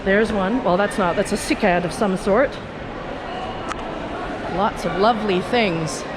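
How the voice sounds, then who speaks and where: A woman giving casual, unhurried sightseeing commentary as a vlog host, chatting and musing aloud while she walks. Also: mid-range voice. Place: high viewing terrace and indoor rooftop garden. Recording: noisy handheld video with urban ambience.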